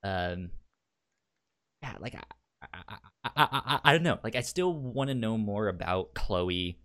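A man chatting casually as a podcast co-host, in a halting way that often repeats words and sounds. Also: fairly low pitch, lively intonation, bright, forceful tone. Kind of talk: casual chat.

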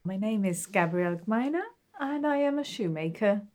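Halting Austrian-born woman shoemaker. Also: mid-range voice, lively intonation, darkish tone. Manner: halting, searching for words, many fillers. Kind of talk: interview answers.

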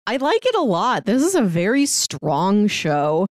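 A woman's voice: medium pitch, wildly swooping pitch, measured pace, dry recording. Woman podcaster with a dry, close sound. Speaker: podcast co-host. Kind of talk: casual review and plot recap.

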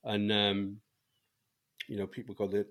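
A man's voice: fairly low pitch, monotone, a little slow, fairly bright tone. A middle-aged man with a Welsh accent, speaking candidly as a guest about his life, rambling with many restarts. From Wales.

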